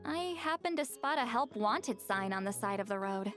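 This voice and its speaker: A young woman's high voice with animated swings, as a game character. She is casual and self-assured, nonchalant yet a little proud, with faint music behind her. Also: moderate pace. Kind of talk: video game voice acting.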